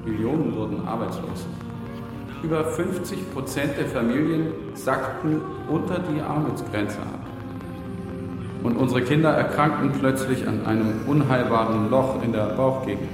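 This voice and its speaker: A man acting as a grim storyteller in a literary radio drama, speaking in a measured, deliberate way. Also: medium pitch, over music, close to an everyday voice, poor audio. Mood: somber. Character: grim man telling of economic collapse as 'we'.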